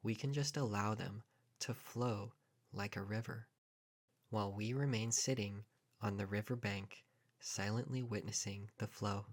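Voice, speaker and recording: A man leading a guided meditation in a calm, measured manner, leaving pauses between short phrases. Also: on the low side.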